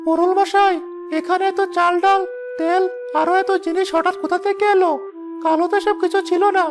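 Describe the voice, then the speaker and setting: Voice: very high-pitched, flat delivery, brisk. An actor voicing a headman's young helper, earnest and righteous, in a cartoon. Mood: questioning. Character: headman's indignant young helper turned whistleblower. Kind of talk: cartoon voice acting. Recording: music behind the voice.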